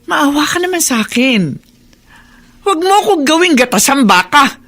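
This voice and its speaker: A woman's voice: high voice, big pitch swings, normal speed, fairly bright tone, exaggerated voice. A woman playing a hardworking, fed-up widowed shopkeeper in a radio drama, exasperated and pleading. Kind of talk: Tagalog radio drama acting.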